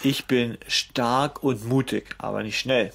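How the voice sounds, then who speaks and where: A man's voice: mid-range voice, fairly even intonation, slow, vocal fry, fairly bright tone. A man co-hosting a podcast in casual banter, talking colloquially with crude humour. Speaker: podcast co-host. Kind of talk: casual banter about an audio drama series.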